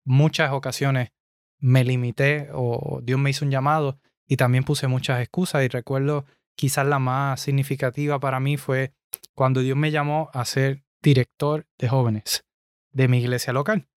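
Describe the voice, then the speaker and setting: A man's voice: mid-range voice, little pitch movement, normal speed, no room sound, clean studio sound. A young man from Puerto Rico with a Puerto Rican Spanish accent, speaking earnestly on a casual podcast. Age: young adult. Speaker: podcast co-host.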